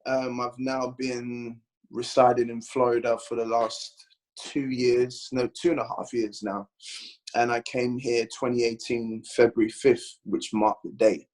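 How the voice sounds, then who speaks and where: A man from London with a London accent, a guest bodybuilder chatting on a podcast, rambling and animated as he tells his story. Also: mid-range voice, unhurried, rough recording. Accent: London English. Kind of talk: casual podcast chat.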